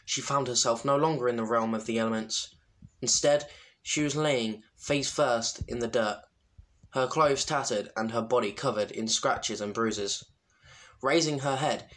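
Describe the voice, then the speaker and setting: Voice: medium pitch, fairly bright tone. An amateur reader narrating a fan fiction story in a noisy home recording with clicks. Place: at home, late at night.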